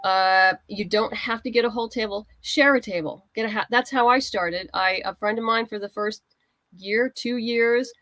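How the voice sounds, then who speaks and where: A woman's voice: a little high, steady tone, normal speed, fairly bright tone, no room sound. A woman chatting casually as an interview guest, giving practical advice in a conversational way. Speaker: guest writer and comics creator.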